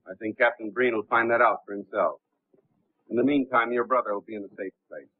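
An actor playing a cool, commanding investigator in a 1930s film, with a husky voice, on a scratchy old soundtrack. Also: male voice, on the low side, fairly even intonation, fairly quick, no echo, narrow, tinny audio, close to an everyday voice. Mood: brisk, composed.

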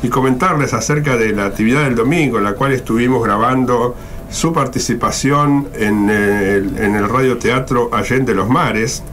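A man's voice: mid-range voice, moderate pace, fairly bright tone. A man hosting a radio show in an informal, effusive, wandering monologue. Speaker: radio host. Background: music.

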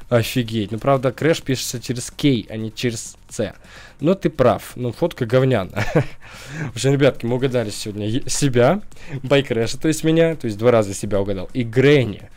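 A man's voice: mid-range voice, expressive, moderate pace, darkish tone, soft background music. Joking young man narrating a game. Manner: joking, laughs often, chatty asides. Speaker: gaming YouTuber. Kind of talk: casual let's-play commentary.

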